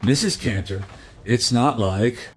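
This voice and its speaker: An actor in a radio play as a courteous, matter-of-fact hospital doctor, recorded slightly distant. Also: male voice, medium pitch, slow pace, slight background noise, close to an everyday voice. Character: courteous male hospital doctor on night rounds.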